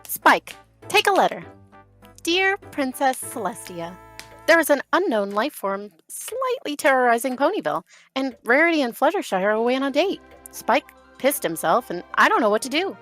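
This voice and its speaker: A man's voice: very high-pitched, sing-song, normal speed, pushed and bright, soft background music, voice pushed up, exaggerated voice. A man voicing a prim, earnest young female unicorn pony who talks in a fussy, officious way. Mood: flustered.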